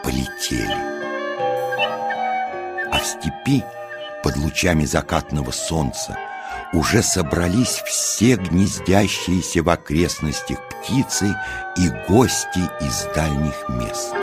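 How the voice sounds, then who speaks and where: Very deep, slow male storyteller. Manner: measured, expressive storyteller's delivery. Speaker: narrator. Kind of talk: scripted children's storytelling. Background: music.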